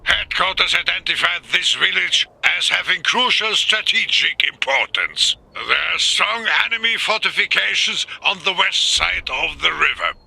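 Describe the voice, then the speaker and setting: A dutiful, authoritative male commander in a war game. The voice is rough and husky, very forceful, with wide swings of pitch. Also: moderate pace, hardly any pauses, exaggerated voice, rough recording. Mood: firm, measured. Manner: clipped, firm, animated. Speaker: voice actor. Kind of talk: dramatic military game acting.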